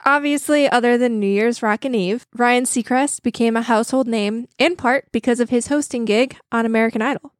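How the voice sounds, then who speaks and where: High-ish playful woman running trivia. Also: no echo, studio quality. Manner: playful, quizmaster announcing. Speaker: trivia quiz host. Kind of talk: casual chat.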